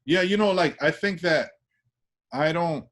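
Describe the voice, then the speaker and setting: A man's voice: on the high side, measured pace, a bit bright. A man from Washington Heights with a New York accent, an artist chatting casually in an interview, loose and full of restarts and tag questions. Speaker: artist guest.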